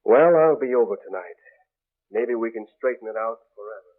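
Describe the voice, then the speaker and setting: An actor playing a grave, skeptical property owner in an old radio horror drama, speaking formally and deliberately. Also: male voice, mid-range voice, lively intonation, close to an everyday voice. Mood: grim, resolved. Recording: muffled, phone-like old radio recording.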